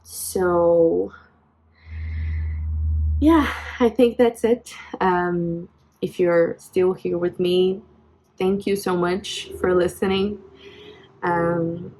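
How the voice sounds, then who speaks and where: A young Brazilian woman in her twenties talks into her phone in English, her second language, with a Portuguese-tinged accent. Her voice sits a bit low and her pace is very slow. She sounds reflective and hesitant, full of fillers and restarts. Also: fairly even intonation, darkish tone, low-quality recording. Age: 20s. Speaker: vlogger. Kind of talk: personal venting vlog.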